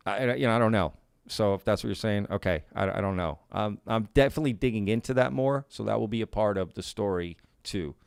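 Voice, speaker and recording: A man's voice: fairly low pitch, fast, gravelly, clear, resonant tone, no room sound, clean studio sound. A male live stream host in a casual Q&A, talking in a laid-back, folksy way, often saying y'all and reading out viewer comments. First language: bilingual Spanish and English.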